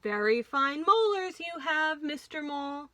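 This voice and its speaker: A jolly storybook dentist who adores teeth, acted out by a woman reader. The voice is high and bright, with emphatic, drawn-out words that swing up and down for young listeners. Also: expressive, full character voice. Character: cheerful male dentist who loves looking at teeth. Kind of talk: children's storybook character reading.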